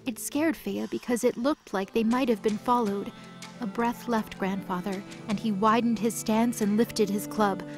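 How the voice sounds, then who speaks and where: A woman's voice: medium pitch, steady tone, normal speed. A woman reading scripted story narration as the narrator of a mythology audio drama. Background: music.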